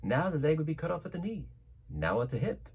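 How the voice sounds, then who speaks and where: A man reading a classic story aloud as a narrator, with theatrical, measured phrasing and dramatic delivery. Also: fairly high pitch, sing-song, a little mellow, breathy edge. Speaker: audiobook narrator.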